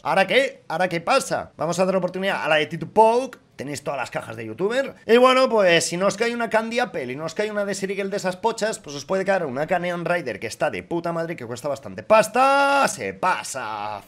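A man in his thirties with a Castilian Spanish accent gives casual, expressive gaming commentary full of colloquial swearing. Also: high voice. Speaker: YouTuber streaming case openings. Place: small home studio room with foam panels. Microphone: desk microphone on boom arm.

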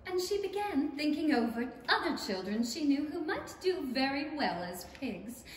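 A woman's voice: high voice, big pitch swings, normal speed. A woman giving scripted storybook narration for a radio play. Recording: echoey hall, background noise.

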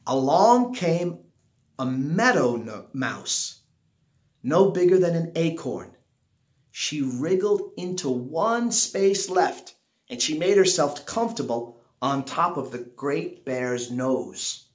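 A man's voice: on the high side, lively intonation, slow. A middle-aged man reading a children's picture book aloud at home, stressing and drawing out key words as a storyteller. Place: small living room at home, indoors. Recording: roomy, echoey home recording.

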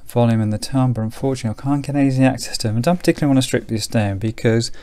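A middle-aged British man giving a casual, unhurried hands-on commentary as he demonstrates something, thinking aloud as he goes. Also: medium pitch, darkish tone. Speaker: presenter demonstrating a vintage radio. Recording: faint background noise, clicks of handling.

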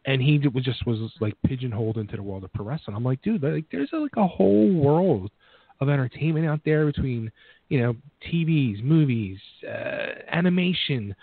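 Animated male host on a phone line. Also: medium pitch, normal speed, creaky, slightly rough, no room sound. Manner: enthusiastic, animated storytelling. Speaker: radio show host. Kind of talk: casual interview chat. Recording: phone-line radio sound.

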